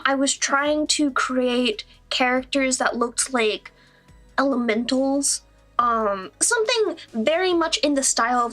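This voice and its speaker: A young woman with a high voice and a mostly neutral American accent, tinged now and then with Southern-Midwestern sounds. She talks in a loose, rambling, self-deprecating way and laughs easily. Faint music plays under her. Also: fairly bright tone. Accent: American, mostly neutral with Southern-Midwestern traces. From Midwestern United States. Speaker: streamer and digital artist. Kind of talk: casual livestream chat.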